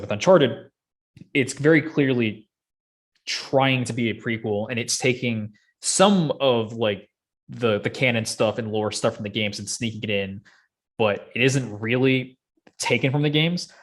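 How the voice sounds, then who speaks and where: A man co-hosting a podcast, chatting casually about entertainment with joking, sardonic asides. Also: mid-range voice. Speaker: podcast co-host. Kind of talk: casual movie discussion.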